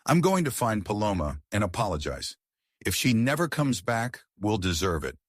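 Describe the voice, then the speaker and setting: An earnest, firm wealthy father, as a male narrator voices him, close to the narrator's own deep register. His delivery rises and falls with feeling. The recording is close and dry. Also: very animated, normal speed, no echo. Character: earnest wealthy father, middle-aged man.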